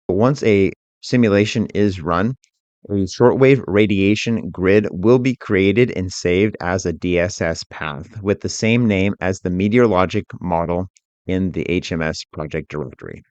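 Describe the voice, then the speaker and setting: A man working as an instructor, narrating a software tutorial in a methodical, informal, step-by-step way. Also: sits low, no echo, dull audio.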